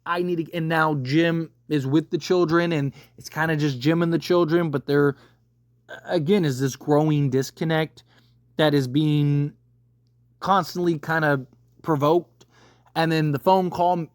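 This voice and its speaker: Male commentator, slightly high voice, casual and emphatic, circling back to stress points, closely recorded with a dry sound. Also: fairly even intonation, unhurried, dry recording. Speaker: video creator reviewing a TV series.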